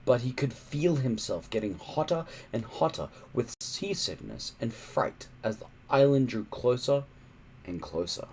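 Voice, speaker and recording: A man reading a children's adventure story aloud in a dramatic, suspenseful way, with emphatic pauses. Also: medium pitch, lively intonation, a little mellow, a little hiss or hum. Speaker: storybook reader.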